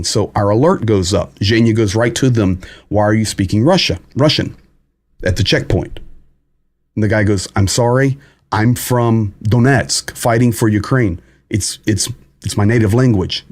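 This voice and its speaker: Man, fairly low voice, live-stream host, casual commentary, halting phrasing, many pauses. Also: a little mellow. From United States. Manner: greets viewers and reads chat comments aloud.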